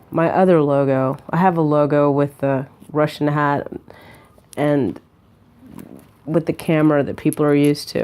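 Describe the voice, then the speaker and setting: A woman in her forties with a raspy voice from a cold, chatting casually to camera from a hotel bed, rambling with many fillers and restarts. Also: low voice, darkish tone. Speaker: vlogger. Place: hotel room, lying on a bed.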